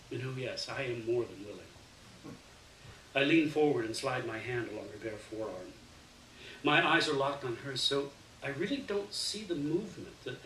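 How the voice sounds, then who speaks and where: A man reads a first-person literary story aloud as an expressive storyteller, performing the dialogue within the narration. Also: medium pitch. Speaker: story reader. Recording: roomy, distant mic, faint hiss.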